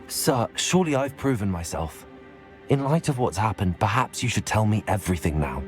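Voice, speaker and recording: A young man acting as a determined wizard student in a video game, polite, measured and earnest. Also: on the low side, lively intonation, faint music behind, natural voice. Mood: earnest, concerned.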